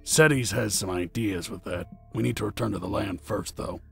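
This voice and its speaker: A man playing a gruff, taciturn adventurer in a fantasy audio drama, with a gravelly, husky voice. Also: fairly low pitch, moderate pace, a bit bright, faint music behind, heavily acted. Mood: low, serious.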